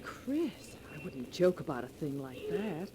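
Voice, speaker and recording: Lively folksy 1940s radio townsman. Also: a little high, a little slow. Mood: solemn. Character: friendly small-town male acquaintance bearing grim news. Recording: noisy vintage 1940s radio recording.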